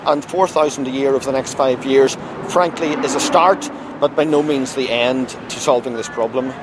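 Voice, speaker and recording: A man speaking in a measured, campaigning way in a news interview at a rally. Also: mid-range voice. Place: outdoors at a city rally. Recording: outdoor traffic noise.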